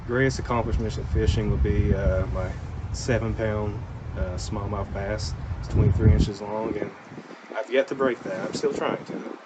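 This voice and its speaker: A man's voice: on the low side, fairly even intonation, moderate pace, dull audio. A man being interviewed as an angler, answering questions about his fishing. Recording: loud outdoor vehicle and ambient noise.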